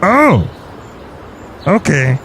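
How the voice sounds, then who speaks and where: A man's voice: fairly high pitch, very animated, drawn-out words, fairly bright tone, a little hiss or hum, voice pushed up, full character voice. A man voicing a scatterbrained, boastful male brewmaster in a comedy podcast sketch. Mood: goofy. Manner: rambling, oblivious.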